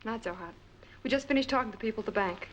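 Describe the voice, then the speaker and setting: An actress playing a wry, quick-witted newspaper woman in a 1940s film. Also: on the high side, a bit bright, close to an everyday voice. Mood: wry, brisk. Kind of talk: 1940s film noir acting. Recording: noisy 1940s film soundtrack.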